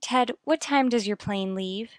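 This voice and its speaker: A woman's voice: on the high side, a little slow, no echo, natural voice. An actress playing an anxious but determined young married mother in a vintage radio soap, speaking formally and politely in a dry recording. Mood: guarded, cool.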